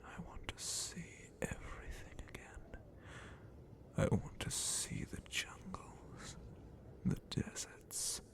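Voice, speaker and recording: Man voicing a slow, whispering, sadistic ancient dragon. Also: natural voice. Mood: darkly amused, possessive. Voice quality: breathy, gravelly whisper. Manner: menacing, condescending, drawn-out theatrical delivery. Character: ancient sadistic male dragon guard captain, possessive captor. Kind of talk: ASMR roleplay.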